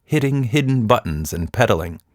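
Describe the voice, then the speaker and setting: A man narrating a scripted children's story as a storyteller, in an expressive, deliberate manner. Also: mid-range voice, no echo, clean studio sound.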